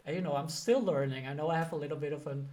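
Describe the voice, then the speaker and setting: Male teacher, Dutch accent, slightly high voice, casual motivational delivery. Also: racing, darkish tone. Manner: conversational, sprinkled with "you know" and "like". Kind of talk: motivational career advice talk.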